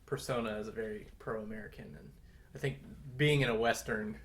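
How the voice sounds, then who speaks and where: A man's voice: on the low side, steady tone, brisk. Man explaining film history as podcast co-host. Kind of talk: conversational film history discussion.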